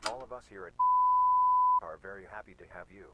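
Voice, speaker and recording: A man voicing a cold, formal male examiner in a horror game, speaking in even, clipped phrases. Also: low voice, fast talker, very noisy, close to an everyday voice.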